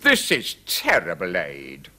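Man acting exasperated fairy-tale king, bright forceful voice, swooping pitch, dramatic complaints. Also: huge pitch swings, slow, full character voice. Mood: panicked. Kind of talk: children's storybook character reading.

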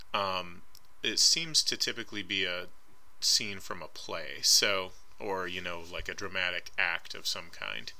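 Man art instructor, slide voice-over, bright lower voice, casual and hedging. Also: smooth. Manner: casual and hedging, frequent ums. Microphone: voice-over recorded over slides.

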